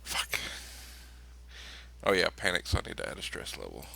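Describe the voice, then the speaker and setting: A man's voice: sits low, sing-song, normal speed, vocal fry, very noisy. A man playing a tabletop role-playing game in casual, sweary table talk.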